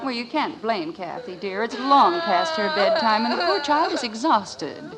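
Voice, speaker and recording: A woman's voice: a little high, animated, moderate pace, a loud crowd, close to an everyday voice. An American actress playing a sensible, firm mother and wife in a 1950s radio sitcom. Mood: soothing. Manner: firm, no-nonsense. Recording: old noisy radio recording.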